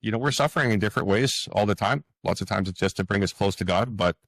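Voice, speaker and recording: A man answering as a guest author in a conversational interview, earnest and halting as he searches for words. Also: on the low side, vocal fry, fairly bright tone, no room sound.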